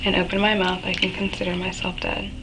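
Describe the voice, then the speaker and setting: A woman giving a halting, subdued account in a recorded interview. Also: on the low side, little pitch movement. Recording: noisy room recording, clear background noise. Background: noise.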